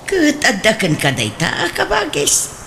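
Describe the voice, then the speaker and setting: A woman's voice: a little high, wildly swooping pitch, unhurried, creaky, a bit bright, a little hiss or hum, full character voice. A woman with an Ilocano accent playing an outspoken, meddling middle-aged aunt in a comedic radio drama. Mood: chiding.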